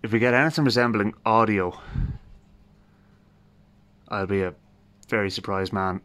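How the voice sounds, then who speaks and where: Low-pitched Irish man thinking aloud. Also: normal speed, a bit bright. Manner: informal, thinking aloud, wry understatement. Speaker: host of a radio repair video. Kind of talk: casual hands-on repair commentary. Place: garage. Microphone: handheld phone.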